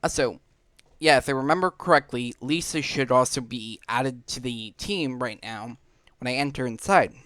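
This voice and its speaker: A man giving casual commentary on a game playthrough, halting now and then as he thinks aloud. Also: medium pitch, a bit bright, dry recording, clean studio sound. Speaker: gaming video host. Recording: game music behind the voice.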